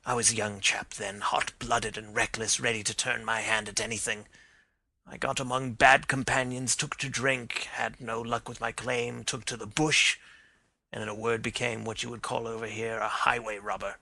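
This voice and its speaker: A man's voice: mid-range voice, level tone, normal speed, gravelly, bright, forceful tone, dry recording, exaggerated voice, low-quality recording. A man playing a dying old man confessing. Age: older. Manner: emotional, pleading storytelling. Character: dying older man confessing a violent past. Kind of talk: dramatized reading of a detective story.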